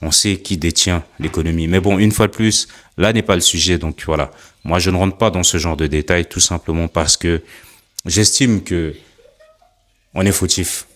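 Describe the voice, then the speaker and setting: A man's voice: deep voice, normal speed, a bit bright. A man delivering earnest spoken commentary, building his point through repeated rhetorical questions. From Africa.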